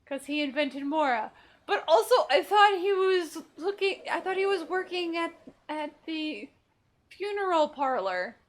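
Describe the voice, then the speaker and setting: A woman's voice: very high-pitched, expressive, a little slow. A woman giving casual, playful commentary while streaming a game. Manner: giggly, playful.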